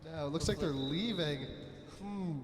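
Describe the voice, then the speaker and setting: A young man calls a school volleyball game play-by-play, loud, chatty and joking, in a somewhat high voice. He sits in an echoey gym with a long ringing reverb. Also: measured pace, crowd noise. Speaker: play-by-play commentator. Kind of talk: amateur sports commentary.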